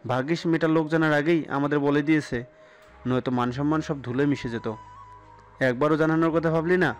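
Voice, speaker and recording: A man's voice: on the high side, fairly even intonation, a little fast, soft background music, lightly acted. A man voicing an angry, stern father who scolds and commands his family in an audio story. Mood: angry scolding.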